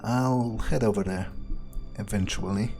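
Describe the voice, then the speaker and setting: A man's voice: lowish voice, measured pace, over music. A man doing in-character roleplay commentary on a video game, musing and thinking aloud. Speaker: gameplay video host.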